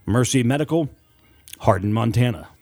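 A man reading scripted horror fiction as an audiobook narrator, in a dramatic, deliberate storytelling manner with weighted pauses. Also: mid-range voice, expressive, a little mellow, faint music behind.

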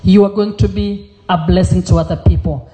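A middle-aged woman from Kenya, giving an earnest testimony as a guest preacher in church, pausing often with fillers. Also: mid-range voice, steady tone, dark tone. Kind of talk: testimony sermon.

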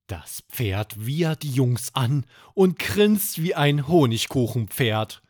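Slow, expressive male bedtime storyteller. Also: mid-range voice, no echo, studio quality. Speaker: podcast host and storyteller. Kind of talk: scripted children's bedtime story narration.

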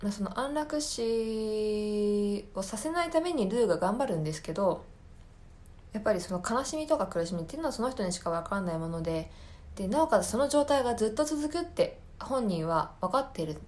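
A woman's voice: medium pitch, animated, a little fast, a little mellow. A woman podcast host talking casually on her own, explaining things thoughtfully and searching for her words as she goes. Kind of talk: casual solo recommendation talk.

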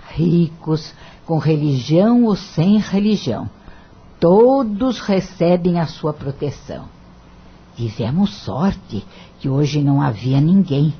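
Slow, very husky, tender mother. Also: low-pitched, heavily acted. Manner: tender, unhurried. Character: gentle, admiring mother of a grown son. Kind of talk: radio drama acting. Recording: dull, lo-fi radio recording.

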